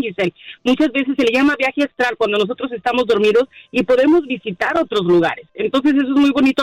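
A woman's voice: a little high, no room sound, poor audio. A woman from Mexico explaining things earnestly as a guest dream interpreter in a radio talk.

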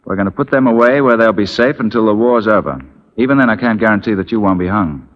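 An actor playing a confident, wry Union captain in a 1940s radio drama, nonchalant and dryly understated. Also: male voice, lowish voice, quick pace, lightly acted. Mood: dry, unruffled. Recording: muffled 1940s radio recording.

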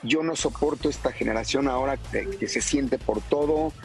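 A man's voice: mid-range voice, moderate pace, vocal fry, darkish tone, faint music behind. A man speaking firmly in a played-back press clip.

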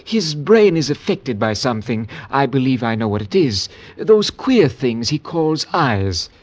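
An actor playing a measured, self-assured village doctor in an old radio drama. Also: male voice, mid-range voice, big pitch swings. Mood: clinical. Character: pompous village doctor, sure of himself.

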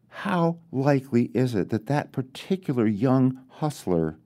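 Mellow, expressive male author reading fiction. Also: mid-range voice. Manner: deliberate, dramatic pauses. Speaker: author reading own story. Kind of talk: literary storytelling, scripted narration.

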